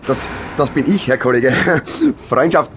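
A man's voice: mid-range voice, expressive, measured pace, a little hiss or hum, close to an everyday voice. An actor with a Viennese accent playing a chummy, evasive, opinionated postman who rambles ingratiatingly in a radio play.

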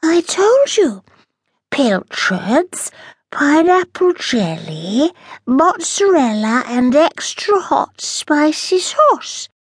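Storybook alien cook, female, slimy and apologetic, put on by a woman reader; very high, sing-song, dry close sound. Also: very animated, extremely slow, dry recording, exaggerated voice. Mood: earnest.

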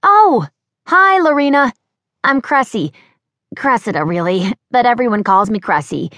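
A bubbly, clumsy young American woman, put on by a woman reading a story, with an American accent. She chatters on in long, breathless run-ons, her voice pitched well up and bright. The read is dry and close. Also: lively intonation, unhurried, no echo. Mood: chatty.

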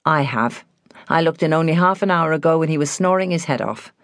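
A woman voicing a steady, protective male estate manager in an audiobook, firm, quiet and reassuring. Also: sits low, fairly quick, dry recording, clean studio sound. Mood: quiet, caring.

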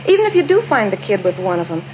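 A woman's voice: mid-range voice, big pitch swings, fast talker, close to an everyday voice. Woman playing a lilting, folksy, doubtful witness. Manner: folksy, rambling, skeptical. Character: plain-spoken, doubtful female witness. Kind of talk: 1950s radio drama acting. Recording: dull, faintly noisy 1950s radio recording.

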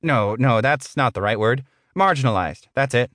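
A man's voice: mid-range voice, animated, moderate pace, a bit bright, no room sound, clean studio sound, voice pushed up, close to an everyday voice. A man voicing a short, sleazy middle-aged office boss in an audiobook, smug, patronizing and blustering.

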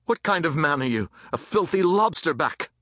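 Man voicing high-pitched, jeering street rioter. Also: lively intonation, normal speed, no echo, clean studio sound. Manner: taunting, shouted. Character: jeering, defiant colonial street boys and rioters.

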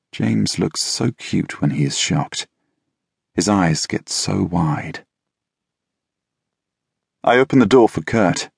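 Low husky guy narrating an audiobook, dry and deadpan, super close dry studio sound. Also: no echo. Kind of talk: first-person scripted narration. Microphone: close studio mic.

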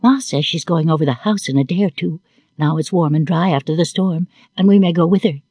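An eager little girl from a storybook as a male narrator puts her on, bossy and quick to flare up. The pitch is lifted, with a slightly husky tone and a very dry, close sound. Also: darkish tone, no echo.

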